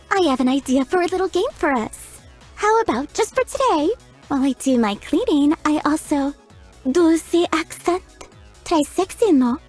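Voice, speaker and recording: Giggly flirty maid. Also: female voice, high-pitched, a little mellow. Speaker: chambermaid character. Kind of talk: video game voice lines.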